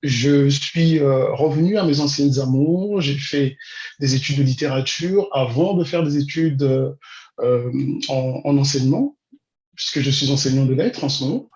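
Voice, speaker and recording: A man, answering interview questions in a measured, hesitant way with drawn-out pauses. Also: medium pitch, darkish tone. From Congo-Brazzaville. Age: 40s. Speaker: interview guest, immigration consultant and teacher. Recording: dull, roomy, echoing sound.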